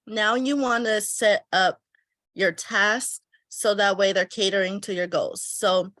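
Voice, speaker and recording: A woman's voice: mid-range voice, no echo. A woman who runs a travel business gives a casual step-by-step tutorial on weekly planning, thinking aloud as she fills in her schedule, her voice a bit stuffy from a cold. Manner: thinks aloud while filling in a planner, relaxed and chatty. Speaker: travel agency owner teaching planning.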